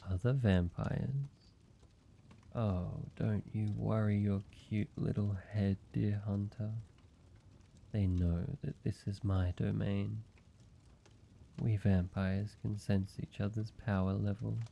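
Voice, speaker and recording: Slow, gravelly gentle vampire played by a man. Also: fairly low pitch, little pitch movement, dull audio, heavily acted. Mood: casual, teasing. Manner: measured, pausing, intimate, softly wry. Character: lonely, gentle, teasing male vampire, centuries-weary and courteous. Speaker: amateur voice actor. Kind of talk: cozy scripted audio roleplay, addressed to one listener.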